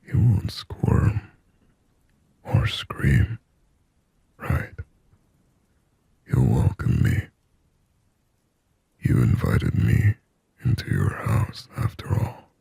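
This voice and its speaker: A man voicing a shy, possessive sleep paralysis demon in an ASMR roleplay, in a halting, intimate, breathy whisper. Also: wildly swooping pitch, no echo, exaggerated voice. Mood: longing, possessive. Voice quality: breathy, husky whisper with low gravelly creak. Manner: slow, halting, hushed, intimate.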